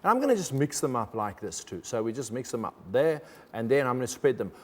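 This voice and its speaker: A man's voice: mid-range voice, very animated, big-room sound. A man whose first language is German, hosting a TV magic show with joking, chatty patter in a studio. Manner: chatty, jokey, with quick laughs. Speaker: TV magician host. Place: TV studio with audience.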